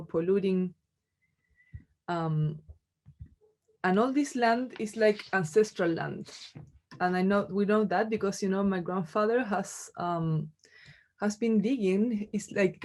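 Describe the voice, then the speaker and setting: Female artist-lecturer, Chilean accent, native Spanish speaker, mid-pitched voice, informal and halting, thinking aloud through a slide talk. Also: darkish tone. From Chile, Santiago. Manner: halting, conversational, frequent "like" and "you know". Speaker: visual artist giving a lecture.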